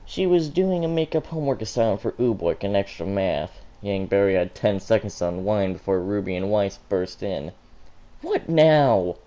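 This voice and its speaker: A man's voice: fairly low pitch, brisk, few pauses, warm tone. A man reading fan fiction aloud as amateur storybook narration, stumbling and correcting himself as he goes. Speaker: fan fiction reader for a gaming channel.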